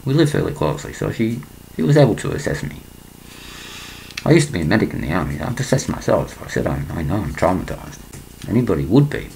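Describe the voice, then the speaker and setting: A man's voice: deep voice, big pitch swings, quick pace, creaky, darkish tone, slight background noise, rough recording. A man reads a letter aloud in a small room at home, breaking off often into rambling asides. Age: 60s. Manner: rambling, breaks off his reading to comment. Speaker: vlogger.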